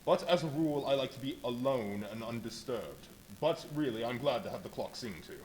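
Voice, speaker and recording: A man's voice: medium pitch, animated, a little fast, darkish tone, natural voice, low-quality recording. Man playing a curt, imperious mysterious stranger. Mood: stiffly polite. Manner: clipped, commanding, formal. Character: curt, secretive, imperious gentleman stranger wrapped in bandages. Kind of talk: live radio play acting. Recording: live stage show, background noise.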